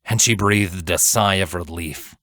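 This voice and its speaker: Slow, low-voiced male fantasy narrator. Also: a bit bright, no echo, studio quality. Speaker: audiobook narrator. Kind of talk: dramatic scripted fantasy story narration.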